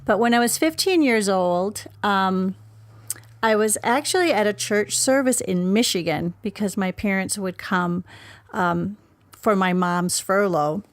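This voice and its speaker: Woman, from Denmark, podcast guest, mid-pitched, dry recording, conversational storytelling. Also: unhurried, no room sound. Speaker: podcast guest, ministry worker.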